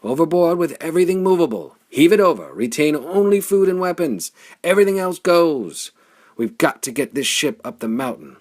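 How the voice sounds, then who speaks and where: A man voicing a bold, urgent warrior hero of pulp space adventure, commanding and raspy. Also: fairly high pitch, sing-song, moderate pace, darkish tone, pitched above the performer's own voice. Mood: shouting, urgent.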